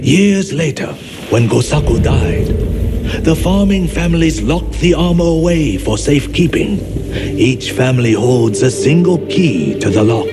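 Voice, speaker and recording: A reverent old-legend storyteller in a game, slow and solemn, loud music behind him. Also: male voice, on the high side, lively intonation. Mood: grave storytelling. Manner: measured, solemn, dramatic storytelling with trailing deferential endings. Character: reverent male village storyteller recounting old legends to his lord. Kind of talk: scripted legend narration, game voice acting. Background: prominent music.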